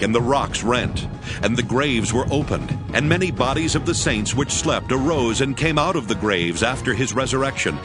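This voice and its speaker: A man narrating a dramatized Bible reading in a solemn, measured storytelling manner. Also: medium pitch.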